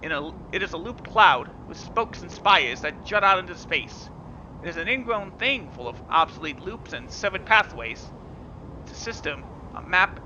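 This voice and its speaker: High-voiced man reading game text. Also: noisy background. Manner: dramatic reading with casual asides. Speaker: gaming video host. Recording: dull lo-fi sound with game music.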